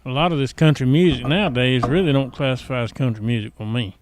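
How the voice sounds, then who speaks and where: A man's voice: medium pitch, fast. A middle-aged man with a Southern US accent, co-hosting a podcast in a laid-back, plain-spoken, folksy chat. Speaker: podcast co-host. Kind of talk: casual chat.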